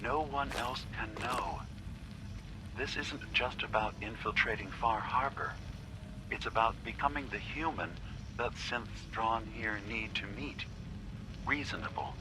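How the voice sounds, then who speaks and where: An actor playing a calm, grave synthetic leader in a video game, speaking in a measured, solemn and deliberate way. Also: male voice, medium pitch, close to an everyday voice. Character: grave, calm synthetic man leading his kind. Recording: clear ambient noise behind the voice.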